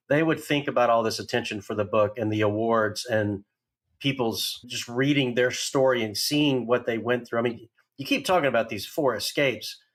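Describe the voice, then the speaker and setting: Curious male podcast host. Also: mid-range voice. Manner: curious, warm praise of the guest, rambling questions. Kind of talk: casual podcast interview.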